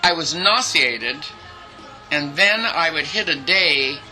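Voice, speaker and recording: Woman in her eighties, loud, chatty storyteller, music behind. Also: sits low, slow pace, low-quality recording. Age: 80s. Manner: chatty, lively, quotes people in dialogue. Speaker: interviewee. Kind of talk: reminiscing storytelling.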